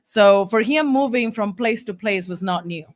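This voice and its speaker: A woman's voice: mid-range voice, expressive, normal speed. A middle-aged woman from Monterrey, Mexico, a native Spanish speaker, telling her story casually and emphatically as an interview guest. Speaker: guest, taco shop owner.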